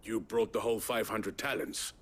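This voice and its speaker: An actor voicing a shady, oily soldier in a video game, sly and mock-deferential. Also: male voice, lowish voice, fairly even intonation, normal speed, fairly bright tone. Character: shady soldier dealing in stolen crystals, oily.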